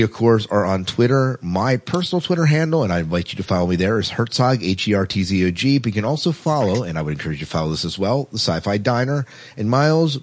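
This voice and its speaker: A man co-hosting a podcast in a casual, informal, conversational way. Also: lowish voice. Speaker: podcast co-host.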